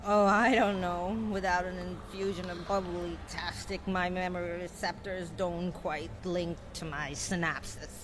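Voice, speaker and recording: A woman's voice: lowish voice, fairly even intonation, a little slow, few pauses, lightly acted. A woman playing a scatterbrained, scheming alien lounge drinker in a video game, airy and theatrical. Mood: coy. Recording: clear background noise.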